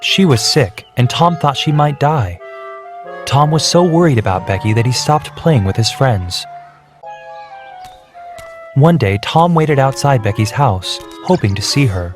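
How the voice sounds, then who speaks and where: Male narrator, mid-range voice, measured scripted storytelling for children over a clear music bed. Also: mid-range voice, expressive.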